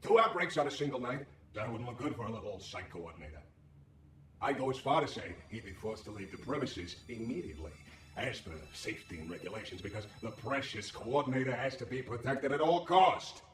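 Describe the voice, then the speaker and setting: A scheming, wisecracking game anomaly, played by a man, talks in lively swoops with a cocky, sardonic bite. The voice rings in a roomy space, a little away from the mic. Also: medium pitch, fairly quick, lightly acted. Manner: cocky, sardonic, folksy slang. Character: wisecracking, scheming handless anomaly, sly streetwise troublemaker. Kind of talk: video game character acting. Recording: roomy echo, slightly distant.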